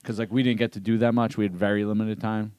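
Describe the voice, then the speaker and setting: A man, a returning guest on a podcast, telling rambling, excited stories in casual chat on a close mic. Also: lowish voice, fast talker, clean tone, no echo.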